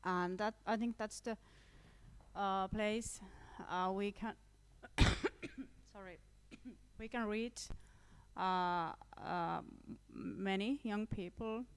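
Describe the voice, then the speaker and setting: Woman, Finnish accent, slow pace, smooth clear voice, hesitant panel speaker. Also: mid-range voice, steady tone. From Finland. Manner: hesitant with fillers, coughing now and then. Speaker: vocational school teacher. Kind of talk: panel contribution. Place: conference room.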